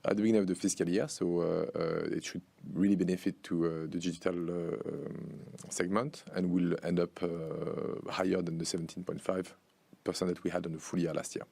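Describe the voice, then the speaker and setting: A man answering investor questions as a finance executive on an earnings call, speaking in halting phrases with many pauses. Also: low voice, flat delivery, vocal fry, mellow, dry recording. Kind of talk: earnings call Q&A, unscripted explanation.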